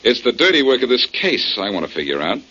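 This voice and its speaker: An actor playing a wry, needling, confident amateur detective in a 1940s radio drama, with a sardonic, probing way of talking. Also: male voice, mid-range voice, big pitch swings, fast talker, pushed and bright. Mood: sly, probing. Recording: dull 1940s radio recording with hiss.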